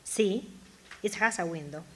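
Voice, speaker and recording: A woman's voice: mid-range voice, unhurried, fairly bright tone, lightly acted. Woman acting a kindly Mexican mother, Spanish-accented broken English, radio play, some noise behind. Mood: warm, motherly. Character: warm Mexican immigrant mother newly adopting two children. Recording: live stage performance, audible background noise.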